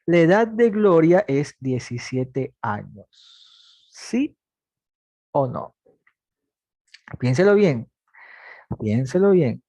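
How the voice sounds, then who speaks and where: A man's voice: a little high, sing-song, extremely slow, pauses often, warm tone, no room sound, highs cut off. A man in his twenties teaching a math logic class, patiently asking students questions and then answering them himself. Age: 20s. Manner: repeats points, talks plainly to students. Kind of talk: online class lecture.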